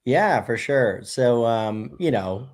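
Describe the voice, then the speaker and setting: A man's voice: medium pitch, expressive, slow pace, a little mellow. A man in his thirties, a brewery co-founder and podcast guest, telling a loose, casual story full of "you know" and "right?".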